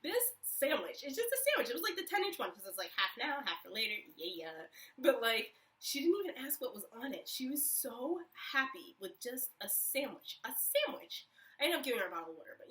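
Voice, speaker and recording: A woman's voice: fairly high pitch, fairly bright tone, recorded from a distance. A young woman telling a story in a casual vlog, chatty and rambling with lots of fillers. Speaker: vlogger.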